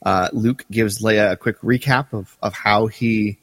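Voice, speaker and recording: A man's voice: lowish voice, steady tone, measured pace, no echo, in a large hall. Dry-sounding male podcast co-host. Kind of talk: casual fan discussion.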